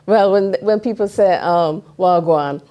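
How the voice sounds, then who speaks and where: A woman's voice: fairly low pitch, unhurried. A woman co-hosting a casual podcast chat, affirming the others and slipping into patois. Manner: animated, affirming, switches into patois. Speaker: podcast co-host.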